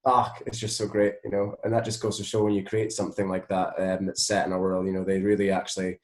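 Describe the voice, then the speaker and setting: A man's voice: deep voice, monotone, fast talker. A Scottish man chatting casually as an interview guest, rambling appreciatively with lots of "you know", in a roomy, echoing recording. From Scotland. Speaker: interview guest, musician and voice actor.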